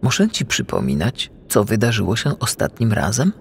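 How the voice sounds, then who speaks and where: A man voicing a gentle, protective mother humpback whale in a storybook, patient and cautioning. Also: fairly low pitch, wildly swooping pitch, normal speed, vocal fry, a little hiss or hum, full character voice. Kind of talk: storybook reading.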